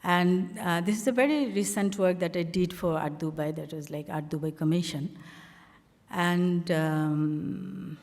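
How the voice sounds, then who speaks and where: A woman from Bangladesh with a Bangladeshi accent, an artist telling the story behind her slides in a halting, conversational way full of fillers. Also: fairly low pitch, darkish tone, big-room sound. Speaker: artist presenting her work on a panel. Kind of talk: conversational talk walking through slides.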